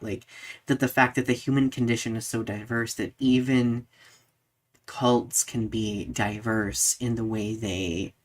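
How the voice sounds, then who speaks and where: A podcast host talking conversationally with a co-host and live audience in a reflective, articulate way. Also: mid-range voice.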